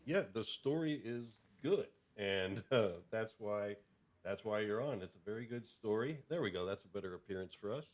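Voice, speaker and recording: A man co-hosting a casual live stream, informal and a little halting as he talks. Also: on the low side, lively intonation.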